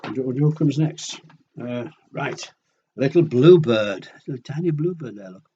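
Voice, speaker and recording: A man's voice: mid-range voice, lively intonation, normal speed, dark tone, lo-fi sound, slight background noise. A man reading a picture book to children expressively, with chatty conversational asides to his young listeners. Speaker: children's storyteller.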